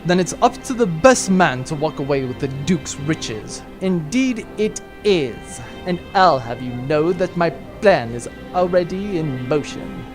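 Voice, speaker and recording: An actor playing a grandiose, pompous space adventurer with theatrical delivery in a comic sci-fi audio drama. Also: male voice, fairly high pitch, expressive, a little slow, hardly any pauses, music in the background. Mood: scheming.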